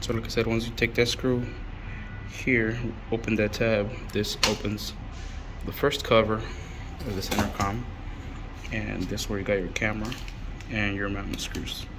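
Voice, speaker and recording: A man with a lowish, very even voice talks through a hands-on job in a casual, unscripted way. He pauses often mid-sentence as he explains each step. Clear noise sits behind him on the job site. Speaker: installer presenting a how-to. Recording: clearly noisy on-site recording.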